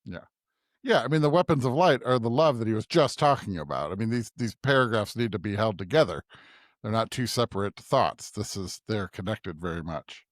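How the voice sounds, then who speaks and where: A man's voice: lowish voice, expressive, a little fast, no room sound, clean studio sound. A man co-hosting a podcast, talking in a casual, conversational way. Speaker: podcast co-host.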